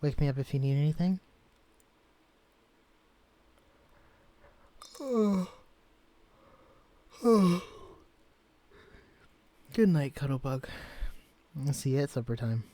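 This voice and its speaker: A man voicing a gentle, shy young man who has been shrunk to tiny size, speaking softly and stammering when flustered. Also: medium pitch, lively intonation, brisk, no room sound, close to an everyday voice. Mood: sleepy. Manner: soft-spoken, hesitant, stammering when flustered. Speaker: character in a listener-directed romance audio. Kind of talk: audio roleplay drama.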